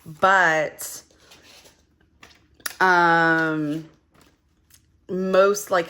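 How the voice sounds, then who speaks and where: A young woman chatting casually as she counts cash for her budget, her voice a little gravelly and hoarse from just waking up, rambling with lots of fillers. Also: deep voice, bright, forceful tone. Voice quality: gravelly early-morning voice. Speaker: budgeting vlogger.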